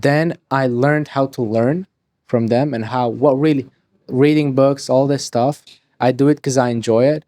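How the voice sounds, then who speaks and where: A nineteen-year-old man from Hungary, rambling quickly and swearing freely as a podcast guest. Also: medium pitch, fairly even intonation, warm tone. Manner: rapid, rambling, swears freely, restarts sentences. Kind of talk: casual interview talk.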